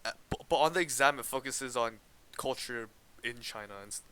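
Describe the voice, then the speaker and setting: Bright, crisp-voiced teenage boy chatting. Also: medium pitch, moderate pace. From Canada. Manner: hesitant, restarts often, laughs while explaining. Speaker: podcast co-host. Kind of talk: casual chat.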